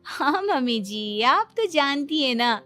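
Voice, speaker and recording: Woman acting high-voiced know-it-all young daughter-in-law. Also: sing-song, slow, sharp tone, soft background music, full character voice. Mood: knowing, proud. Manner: boastful, explanatory. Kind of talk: cartoon family story acting.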